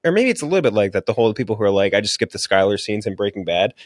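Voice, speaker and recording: A man's voice: fairly low pitch, big pitch swings, very fast, no room sound, clean studio sound. A man co-hosting a movie podcast, chatting casually and bluntly about film and TV with slang and swearing. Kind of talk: casual opinionated film and TV chat.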